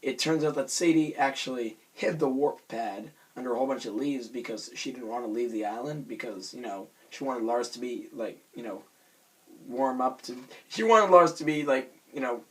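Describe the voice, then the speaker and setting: A hoarse young man with a raspy voice, rambling casually through a self-conscious vlog with many fillers and restarts. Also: medium pitch, normal speed, low-quality recording. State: hoarse from screaming. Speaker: vlogger reviewing a cartoon episode.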